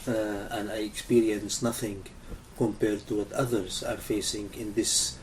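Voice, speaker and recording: A man from Lebanon answering interview questions, speaking English haltingly with frequent pauses and filler sounds. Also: lowish voice, level tone. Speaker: interview guest, activist coordinator. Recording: distant mic with faint ambient noise.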